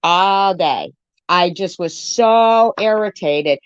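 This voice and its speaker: A woman's voice: lowish voice, slow pace, clear, resonant tone, no room sound, muffled recording. A woman giving an informal live-stream rant in an irritated, emphatic way, repeating phrases to drive her point home.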